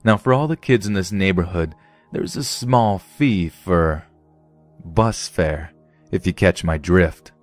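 A man voicing a menacing teenage bully leader with sneering, drawn-out taunts. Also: low-pitched, faint music behind.

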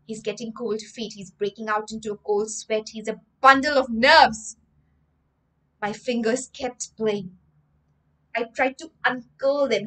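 Young woman, slow and emphatic teacher reading to kids, slightly high voice. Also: poor audio. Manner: expressive, emphatic reading with frequent word explanations. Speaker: teacher, storyteller. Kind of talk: reading a story aloud and explaining vocabulary. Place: home room with bookshelves. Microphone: webcam framing, livestream.

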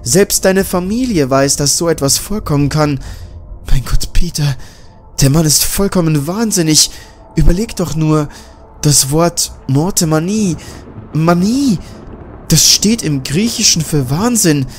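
A man's voice: a little high, animated, measured pace, a little hiss or hum, voice pushed up. A man putting on a frightened young woman. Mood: alarmed. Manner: urgent, anxious.

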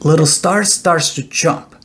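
Slow-paced middle-aged man reading a bedtime story. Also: on the high side. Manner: deliberate, expressive storytelling. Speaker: author reading own children's book.

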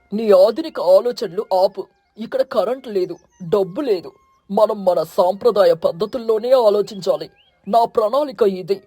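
A performer plays the protective king eagle of a cartoon bird village. The voice is husky and dark, solemn and commanding. It is recorded dry over music. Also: medium pitch, no echo, close to an everyday voice. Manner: solemn, authoritative. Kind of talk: animated children's moral story.

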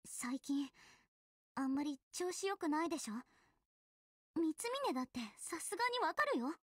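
Very high-voiced, direct teenage girl. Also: big pitch swings, moderate pace, no room sound, clean studio sound. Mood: worried. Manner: casual, direct, emotionally pressing. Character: perceptive, casual teenage girl idol, earnest and caring. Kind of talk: Japanese mobile game visual novel acting.

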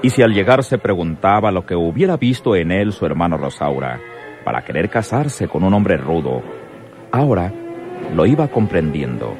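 A man's voice: deep voice, very animated, moderate pace, darkish tone. A man narrates a radio drama. His scripted delivery rises and falls dramatically over faint music.

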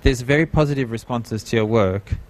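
A man's voice: medium pitch, a little fast. A middle-aged man hosting an interview at an art fair booth, speaking on a handheld mic and sometimes searching for words. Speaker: talk host. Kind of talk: interview host.

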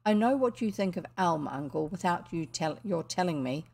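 A woman voicing a gruff, curt old grandfather. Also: on the low side, expressive, moderate pace, darkish tone, heavily acted. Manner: curt, commanding.

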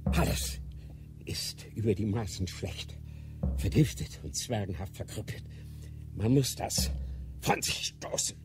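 An actor playing an aged, ailing Grand Inquisitor in a radio drama, solemn and halting, declaiming with remorse and fervor that rises to outcries. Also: male voice, fairly low pitch, music in the background, close to an everyday voice. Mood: solemn confession. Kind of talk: German radio drama acting.

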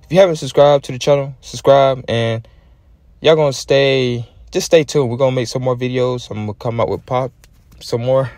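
A man's voice: mid-range voice, steady tone, moderate pace, a little mellow, dry recording. An American man hosting a car channel, giving enthusiastic, slangy, casual commentary as a voice-over. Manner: enthusiastic, informal slangy talk. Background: background music.

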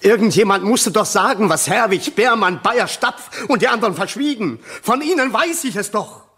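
A man playing a troubled, earnest middle-aged witness in a German crime radio drama, with a husky voice. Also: sits high, animated, moderate pace, bright, forceful tone, heavily acted. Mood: desperate, insistent.

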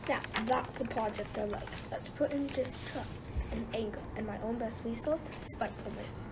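Woman as a radio-play goddess, high voice, brisk and disdainful, noisy recording. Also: close to an everyday voice. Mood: gleeful.

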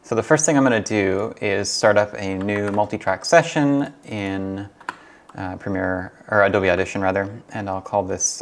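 Young adult male host, lowish voice, informal how-to delivery, thinking out loud. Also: gravelly. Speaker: hobbyist host demonstrating tape transfer. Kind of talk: casual tutorial vlog talk. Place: small furnished rooms at home, indoors.

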